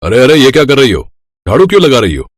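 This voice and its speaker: Man acting a kindly, gruff grain merchant. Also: mid-range voice, sing-song, fast talker. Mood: businesslike. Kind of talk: acted dialogue in a children's moral story.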